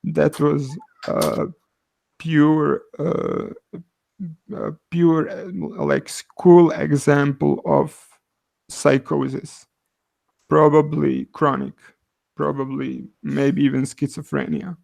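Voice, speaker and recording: Slow, gravelly man with a Croatian accent. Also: fairly high pitch, flat delivery, a little mellow, no echo, poor audio. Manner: hesitant, many uhs. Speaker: caller. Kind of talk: casual online discussion.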